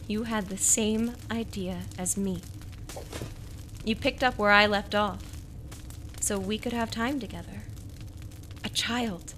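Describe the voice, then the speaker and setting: An actress playing a lonely, devoted wife in a radio noir monologue, halting and wistful as she confides. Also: medium pitch, a bit bright, a little hiss or hum. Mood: devoted, secretive. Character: lonely, devoted wife quietly confessing her secret.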